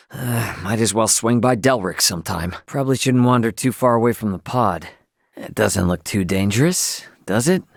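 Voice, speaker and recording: An actor with an American accent playing a brash, easygoing spacefaring hero in a video game, with a cocky, slangy, joking delivery. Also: male voice, medium pitch, no echo, studio quality. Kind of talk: video game voice lines, battle barks and chatter.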